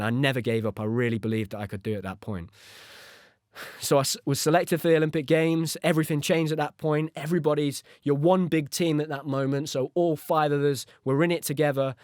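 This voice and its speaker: A man in his thirties with an English accent recounts his own experiences for a podcast. His voice sits at a middling pitch, and he talks in an earnest, conversational way. The sound is dry, with very little of the room in it. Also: no room sound. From United Kingdom. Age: 30s. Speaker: podcast host and former gymnast. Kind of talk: first-person storytelling on a podcast.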